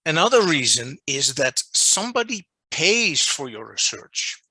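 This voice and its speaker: A Dutch-accented man lectures over a video call in slow, unhurried English. His voice is very bright and sharp, and it sits close and dry against the mic. He talks deliberately and comes back to his main points to make them land. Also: fairly high pitch, sing-song, no echo. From Netherlands. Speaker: journal editor presenting a workshop. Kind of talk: online lecture. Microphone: video call microphone.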